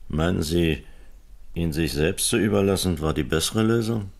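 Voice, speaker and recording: Deep-voiced, terse police investigator. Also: male voice, moderate pace, vocal fry, a little mellow, no echo. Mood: measured. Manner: terse, businesslike questioning. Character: sober, methodical male police investigator. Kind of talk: German radio crime play acting.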